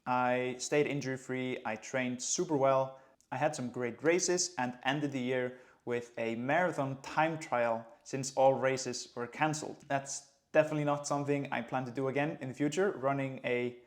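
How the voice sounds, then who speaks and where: A man in his late twenties from Iceland, a running coach speaking English with an Icelandic accent in a relaxed, conversational vlog. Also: medium pitch, normal speed. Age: late 20s. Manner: relaxed, conversational, light laughs. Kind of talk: casual vlog talk to camera.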